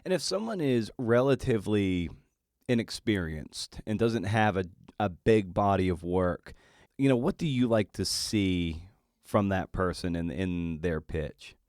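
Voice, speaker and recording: A man's voice: sits low, animated, unhurried, a little mellow, no echo, clean studio sound. A man hosting a podcast, talking informally in a casual interview style with loose phrasing. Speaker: podcast host.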